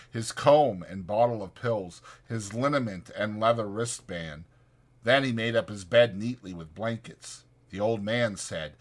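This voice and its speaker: A man reading scripted literary narration as an audiobook narrator. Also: on the low side.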